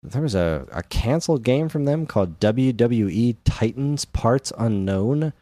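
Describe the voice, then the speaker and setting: A man's voice: mid-range voice, animated, unhurried, warm tone, no echo. A man co-hosting a podcast, chatting casually about games in an enthusiastic, rambling, informal way. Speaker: podcast co-host.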